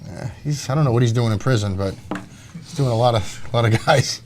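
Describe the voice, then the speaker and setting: A man's voice: mid-range voice, slight background noise. A man hosting a casual sports chat, brash and joking. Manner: brash, joking, fast-talking. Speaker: show host.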